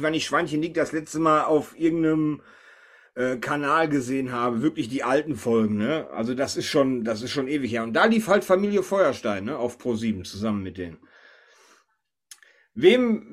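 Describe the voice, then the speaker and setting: A man's voice: a little high, a bit bright. A man hosting a casual podcast chat, talking informally. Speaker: podcast host.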